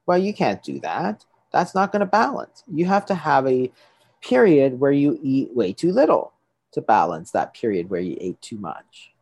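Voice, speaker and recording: A man's voice: mid-range voice, very animated, moderate pace, darkish tone, husky, no echo. A middle-aged man, a doctor, explaining things to an interviewer, often restarting and checking with "right?". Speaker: doctor treating patients.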